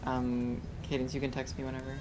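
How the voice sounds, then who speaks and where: A man on a voice call with friends, talking quickly and offhandedly. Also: medium pitch, completely flat delivery, a little mellow, a little hiss or hum, low-quality recording.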